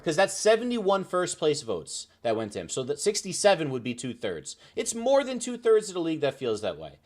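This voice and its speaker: A man's voice: a little high, huge pitch swings, a little fast. A man co-hosting a sports debate show, explaining his reasoning point by point.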